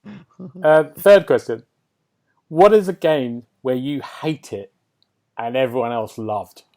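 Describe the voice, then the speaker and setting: A man's voice: mid-range voice, expressive, a little slow, dark tone. A man hosting a casual gaming podcast, chatting and putting questions in an informal way. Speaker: podcast host.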